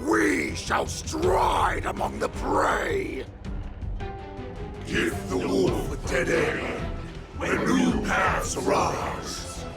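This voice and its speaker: A man's voice: high-pitched, wildly swooping pitch, extremely slow, vocal fry, full character voice. An actor playing a menacing, bloodthirsty male wolf warlord who snarls threats and chants a battle creed in a game cutscene. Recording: game audio with music behind.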